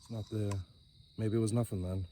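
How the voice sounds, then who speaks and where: A man's voice: flat delivery, brisk. Man ghost hunting on a night road. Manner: calls out loudly to spirits, otherwise low and musing. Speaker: paranormal investigator host. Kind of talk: casual narration while ghost hunting. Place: outdoors on a wooded road at night. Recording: night outdoor noise, crickets.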